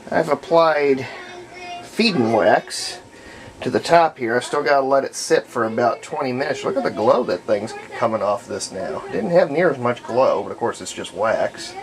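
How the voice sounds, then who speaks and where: A hobbyist restorer giving a casual hands-on workshop demonstration, thinking aloud in a halting way as they work. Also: mid-range voice, expressive. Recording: noisy room recording with ambient sound.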